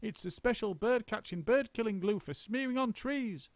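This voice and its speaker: A man voicing a frantic, bossy male monkey father who shouts orders. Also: high-pitched, moderate pace, no room sound, pitched above the performer's own voice. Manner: shouting, excitable, commanding.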